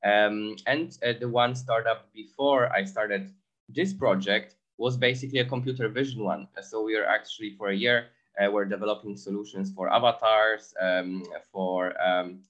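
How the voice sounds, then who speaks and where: A man from Poland with a lowish voice answers interview questions in English, his second language. He hesitates a lot and restarts phrases as he searches for words. The audio is a bit dull and lo-fi. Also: steady tone, normal speed, fairly bright tone. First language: Polish. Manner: hesitant, many fillers and restarts. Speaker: guest entrepreneur.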